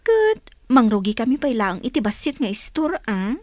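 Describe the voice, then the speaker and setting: A woman plays a cheerful young wife in a radio drama. Her Ilocano voice is high and lively, in a dry, close recording. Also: moderate pace, no room sound. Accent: Ilocano Filipino. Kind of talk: Filipino radio drama acting.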